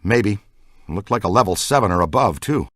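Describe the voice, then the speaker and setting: A man's voice: fairly low pitch, animated, normal speed, a bit bright, no room sound, studio quality. A man voicing an eager, good-natured ghost-hunting scientist in a video game, earnest and technical. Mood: earnest, explaining. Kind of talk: video game dialogue, battle shouts and banter.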